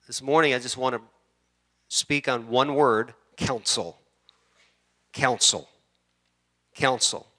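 A man's voice: mid-range voice, fairly even intonation, slow, long pauses, pushed and bright. A man in his fifties preaching a sermon in church, repeating key words for emphasis and building to a fervent delivery. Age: 50s. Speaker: pastor. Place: church sanctuary.

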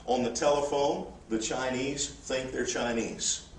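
A man's voice: medium pitch, steady tone, unhurried, reverberant, distant mic, slight background noise. A missionary in his forties, giving a folksy, humorous church report with frequent "uh" fillers. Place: church sanctuary.

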